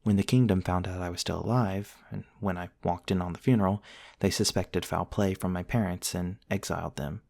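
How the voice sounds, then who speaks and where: A man playing a gentle, courteous centuries-old vampire prince in an intimate ASMR roleplay, soft-spoken and formal, with hesitant pauses. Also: low voice, steady tone, vocal fry, no echo, studio quality. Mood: wry, matter-of-fact. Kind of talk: intimate ASMR roleplay, storytelling to one listener.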